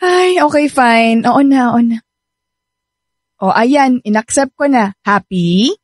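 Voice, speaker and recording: A woman's voice: a little high, expressive, slow, no room sound, studio quality. An actress playing a sassy, guarded young woman in a radio drama, teasing and brusque in manner. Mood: mildly exasperated. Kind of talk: Filipino radio drama acting.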